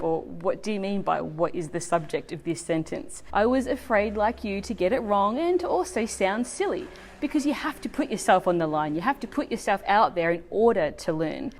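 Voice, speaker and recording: A woman's voice: lowish voice, a little mellow. A woman who is a native English speaker, teaching as a non-native language teacher in an emphatic, scripted lesson delivered to viewers.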